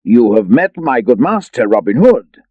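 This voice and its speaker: A man's voice: medium pitch, big pitch swings, moderate pace, dark tone, no room sound, dull audio, pitched above the performer's own voice. A man voicing a big, boisterous, loyal outlaw in a storybook, with hearty bluster.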